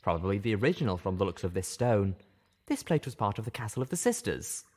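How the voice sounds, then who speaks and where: A man voicing a grave, polite and learned unicorn stallion in an audiobook, measured and formal. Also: lowish voice, sing-song, darkish tone. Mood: scholarly, polite.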